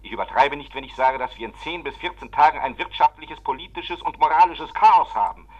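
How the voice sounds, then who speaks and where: A man's voice: a little high, lively intonation, brisk. A man playing an insistent, authoritative government state secretary in a 1950s German radio drama, formal and pressing in manner. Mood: urgent, pressing. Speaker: actor in a radio play. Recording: dull, lo-fi 1950s radio recording.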